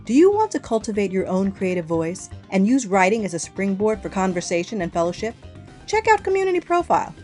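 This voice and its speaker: A woman reads a promotional announcement as a mentor inviting listeners in. Her pitch moves in a very lively way at a medium register. Soft music plays behind. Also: a little fast. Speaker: writing mentor.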